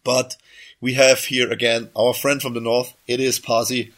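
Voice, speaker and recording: A man's voice: mid-range voice, little pitch movement, normal speed, fairly bright tone. A man hosting a casual podcast while sick, coughing now and then, his voice just back, rambling and often correcting himself mid-sentence. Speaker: podcast host.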